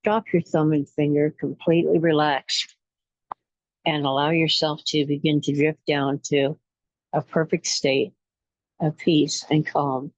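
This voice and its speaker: A woman leading a guided relaxation meditation in a soothing, unhurried way, pausing between short phrases. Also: deep voice, little pitch movement, dry recording, lo-fi sound. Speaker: guided imagery facilitator.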